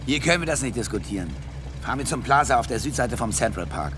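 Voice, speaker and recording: An actor playing a domineering, suspicious wealthy husband in a radio drama, curt and sarcastic. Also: male voice, mid-range voice, expressive, quick pace, noisy background, close to an everyday voice. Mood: tense, controlled.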